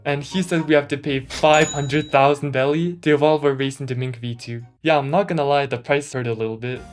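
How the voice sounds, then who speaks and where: A man's voice: a little high, steady tone, a little fast. A man speaking through a voice changer, giving casual, slangy gameplay commentary with a mildly complaining manner. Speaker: gaming YouTuber. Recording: music mixed under the voice. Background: background music.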